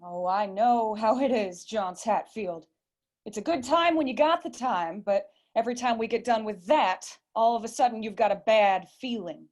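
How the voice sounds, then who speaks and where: A brazen young woman voiced by an actress in a radio drama, a little high in pitch. She is sassy and bossy, quick to mock. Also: natural voice. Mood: dismissive.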